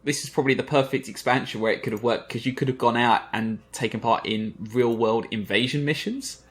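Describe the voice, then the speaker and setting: A man hosts a casual podcast discussion. His voice sits in a middle register, and he works through ideas out loud, often turning them into questions for the others. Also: mid-range voice, fairly quick. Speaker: podcast host. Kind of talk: casual chat.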